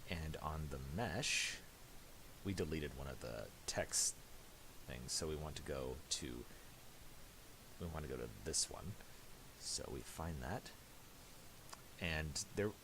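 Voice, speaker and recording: A man presenting a casual software tutorial in a halting, mumbled way, on a hissy home recording with clicks. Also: extremely low pitch, expressive.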